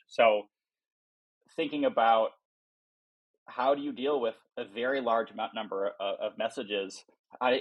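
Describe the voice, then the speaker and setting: A man's voice: lowish voice, husky, poor audio. A man speaking as an interviewed startup founder, explaining things informally and thinking aloud as he goes. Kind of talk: casual explanatory interview talk.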